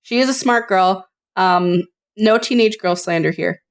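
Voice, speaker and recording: A woman in her thirties co-hosting a podcast in relaxed conversation. She swears freely and laughs a lot. Her voice sits at an ordinary middle pitch. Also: measured pace. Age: 30s. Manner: swears freely, laughs often, animated retelling. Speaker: podcast co-host. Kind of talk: casual chat.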